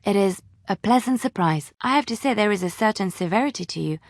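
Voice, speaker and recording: Young female bard in a fantasy game: romantic, earnest, expressive, mid-range voice, very dry studio sound. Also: mid-range voice, quick pace, dry recording. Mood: gentle. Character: romantic, devout young woman bard, easily flustered. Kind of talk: video game character dialogue and storytelling.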